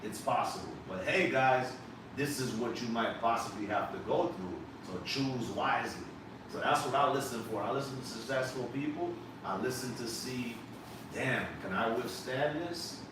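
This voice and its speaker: A man's voice: mid-range voice, moderate pace. A man hosting a live podcast, talking emphatically and animatedly to his guest and casually dropping swear words. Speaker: podcast host. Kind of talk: casual interview chat. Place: live podcast set on a couch. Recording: echoey room, distant mic, background noise.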